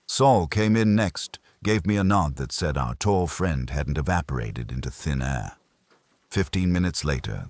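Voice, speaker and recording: A man narrating a scripted first-person detective story in a wry, deliberate manner. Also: a bass voice, slightly rough, dry recording.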